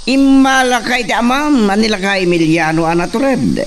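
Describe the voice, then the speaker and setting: A man playing a firm, commanding young gentleman landowner in a radio drama, emphatic and melodramatic. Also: sits high, moderate pace, distant mic, crowd noise, close to an everyday voice. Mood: stern, mocking.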